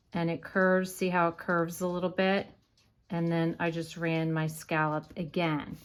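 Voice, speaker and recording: A woman explaining a paper craft step by step in a casual, unhurried way with frequent fillers, her voice going hoarse. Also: fairly low pitch, a little hiss or hum. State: losing her voice, coughing. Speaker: craft tutorial host.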